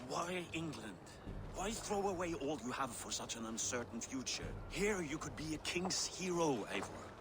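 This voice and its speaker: Stern older Viking lord. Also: male voice, on the high side, big pitch swings, moderate pace, background noise. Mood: sorrowful. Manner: grave, imploring, formal. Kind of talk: video game cinematic acting.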